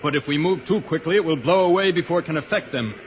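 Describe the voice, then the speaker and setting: A man plays an earnest space hero in a vintage radio adventure. His voice sits a little high for a man, with a declamatory, urgent delivery. The old recording is dull and noisy. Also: fast talker, close to an everyday voice. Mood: tense resolve. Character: earnest, heroic alien spaceman, puzzled by Earth slang. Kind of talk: old-time radio serial acting.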